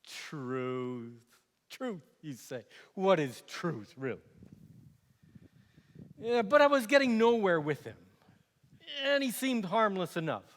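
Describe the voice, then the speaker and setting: Man acting animated, scornful Roman governor in echoing hall. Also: sits high, slow, long pauses, heavily acted. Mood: mocking. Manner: animated, scornful, exasperated, swinging from quiet asides to outbursts. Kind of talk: theatrical dramatic monologue. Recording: echoey hall with long reverb.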